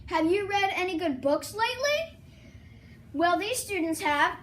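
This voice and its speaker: A woman's voice: very high-pitched, expressive, unhurried, far from the mic, over music. A young girl co-hosting a school news broadcast, reading in a sing-song way. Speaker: student co-anchor.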